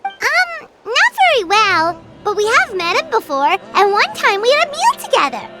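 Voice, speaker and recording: A woman's voice: very high-pitched, sing-song, normal speed, loud music behind the voice. A woman voicing a tiny, childlike companion creature in a video game, wary and chatty. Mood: hesitant.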